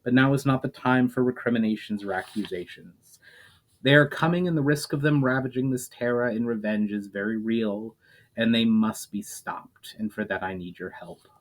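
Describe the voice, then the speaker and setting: A weary but resolute male hero lays out his case with deliberate earnestness, as a man reads his lines. It comes from a read-aloud fantasy novel. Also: medium pitch, natural voice.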